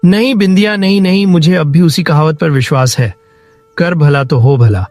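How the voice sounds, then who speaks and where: Man playing an earnest, higher-voiced poor farmer. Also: moderate pace, darkish tone, dry recording, soft background music, close to an everyday voice. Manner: earnest, repeats phrases emphatically. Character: poor honest woodcutter farmer, kind and principled. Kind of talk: Hindi cartoon moral story dialogue.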